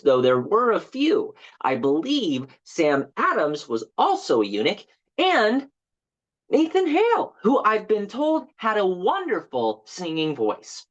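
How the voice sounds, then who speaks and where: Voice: medium pitch, highs cut off, close to an everyday voice. An actor playing a bitter, just-fired male park tour guide in a dramatic monologue, chatty and passive-aggressive as he delivers a mock-informative tour speech.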